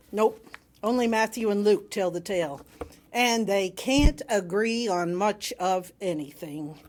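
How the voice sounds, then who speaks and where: A woman reading a sermon aloud to a congregation in a measured, emphatic way with wry humour. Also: medium pitch. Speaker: reader delivering another minister's sermon.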